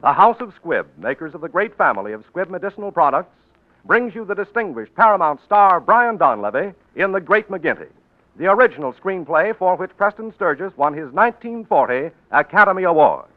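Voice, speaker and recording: A man's voice: high voice, sing-song, fairly quick. A man with an American accent reading a formal, declamatory 1940s radio sponsor announcement. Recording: tinny 1940s radio recording, music behind.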